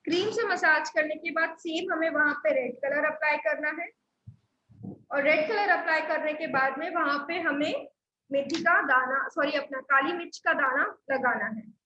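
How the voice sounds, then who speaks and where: A woman's voice: sits high, moderate pace, sharp tone, reverberant, lo-fi sound, slight background noise. A woman teaching a live online class on acupressure therapy, explaining steps patiently and repeating key points. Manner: patient, repetitive step-by-step instruction. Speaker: Sujok therapy instructor.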